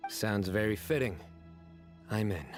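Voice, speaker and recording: A man's voice: fairly low pitch, a little slow, over music, natural voice. A man voicing a serious, resolute young lawman in a video game, speaking in a direct, controlled manner.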